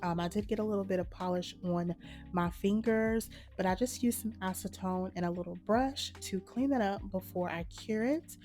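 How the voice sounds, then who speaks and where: Woman host, a little hoarse, warm mellow tone, calm how-to delivery, soft music underneath. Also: mid-range voice, moderate pace. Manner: relaxed, matter-of-fact instructions. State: slightly hoarse from a cold. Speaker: nail art channel host. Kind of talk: casual step-by-step tutorial narration.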